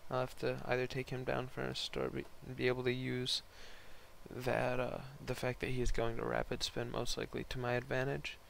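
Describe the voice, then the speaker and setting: Gravelly, hoarse young man doing casual game commentary. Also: medium pitch, completely flat delivery, moderate pace, a bit bright, slight background noise. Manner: rambling, slangy, laid-back. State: hoarse, voice partly lost from concert the night before. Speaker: host narrating online game battles.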